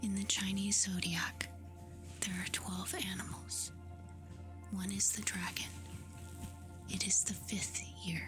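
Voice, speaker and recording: A woman doing soft-spoken ASMR, reading facts aloud in a hushed, soothing, breathy whisper. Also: medium pitch, little pitch movement. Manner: slow, hushed, soothing delivery with short phrases. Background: music.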